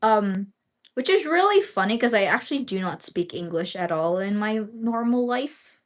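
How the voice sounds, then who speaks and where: A woman's voice: medium pitch, expressive, moderate pace. Woman streamer from the Philippines, Bisaya speaker, loose rambling chat full of fillers and casual swearing. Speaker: livestreamer.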